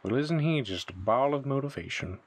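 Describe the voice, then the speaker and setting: A man's voice: medium pitch, lively intonation, a little fast, lightly acted. A man playing an irreverent, wisecracking young shifter sidekick in an audio roleplay drama, flippant and joking. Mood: wry.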